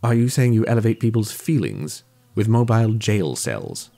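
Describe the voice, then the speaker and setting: An actor playing an earnest, opinionated detective in an audio drama, with a formal, declamatory, pedantic manner. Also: male voice, on the low side, sing-song, moderate pace, creaky, mellow. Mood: skeptical. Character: male detective, pompous, earnest, opinionated seeker of truth.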